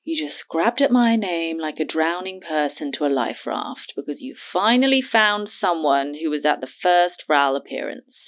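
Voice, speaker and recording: A woman's voice: low voice, expressive, moderate pace, few pauses. An actress playing a cagey, mocking woman who needles her listener in a naturalistic audio drama, heard over a phone filter. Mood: defensive, mocking. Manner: haughty, needling, sardonic. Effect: phone line filter. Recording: tinny narrow phone line.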